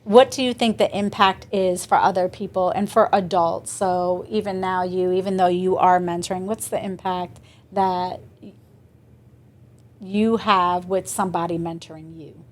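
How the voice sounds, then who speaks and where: A woman's voice: on the low side, steady tone, normal speed. A woman hosting a podcast interview, asking long winding questions and affirming her guest. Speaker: podcast host. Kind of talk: conversational interview.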